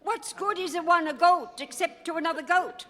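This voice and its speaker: A woman playing an excitable Italian immigrant goat seller in a radio play, with an Italian accent and emphatic broken English. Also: very high-pitched, moderate pace. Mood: stubborn. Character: excitable Italian immigrant woman selling her beloved goats. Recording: echoey hall.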